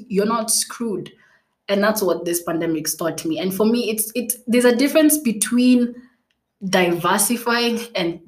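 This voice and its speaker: A young woman whose native language is Swahili, hosting a casual solo podcast, chatty and playful with frequent laughs, fillers and restarts. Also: lowish voice. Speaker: podcast host.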